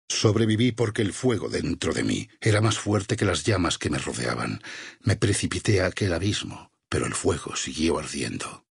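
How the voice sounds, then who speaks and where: Game role: devout burned warrior-missionary, Castilian accent, very husky and creaky, grave sermon-like delivery with smoldering zeal. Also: male voice, sits low, dry recording, studio quality, heavily acted. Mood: solemn, fervent. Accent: Castilian Spanish. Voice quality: raspy, gravelly. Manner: grave, measured, preacherly. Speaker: video game voice actor. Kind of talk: dramatic game dialogue.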